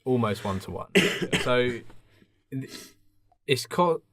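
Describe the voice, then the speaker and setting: A man chatting as a podcast co-host. His pitch swings about a lot as he questions and nitpicks in loose, comic banter. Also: lively intonation, slow, crowd noise.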